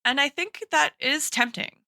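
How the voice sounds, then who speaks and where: A woman with a bright, forward voice discusses books on a podcast like a thoughtful teacher. She reasons out loud, qualifying her points as she goes. The sound is dry and close. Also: high-pitched, moderate pace, vocal fry, no room sound. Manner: reflective, analytical, hedging with frequent tags like "right" and "like". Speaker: podcast host and guest expert, a teacher.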